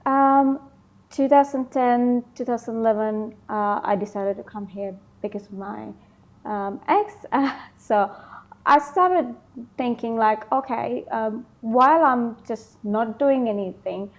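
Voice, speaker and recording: A woman from Sri Lanka, in her thirties, answering interview questions casually, often pausing with ums and likes. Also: on the high side. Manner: hesitant, many ums and likes. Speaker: interview guest, beauty business founder.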